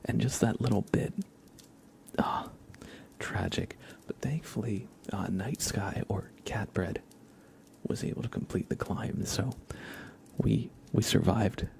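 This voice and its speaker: Male ASMR streamer: hushed whisper with gravelly fry, casual, friendly, gentle chat delivery. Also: fairly low pitch, takes it slowly, long pauses. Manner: casual, friendly, thanking viewers. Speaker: livestream host. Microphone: close microphone with compression and limiter.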